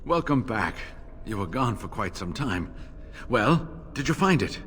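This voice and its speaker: Earnest scholar, lowish voice. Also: male voice, big pitch swings. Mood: awed, curious. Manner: halting, eager, earnest. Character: earnest, devout male scholar, awed and eager. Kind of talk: video game voice acting.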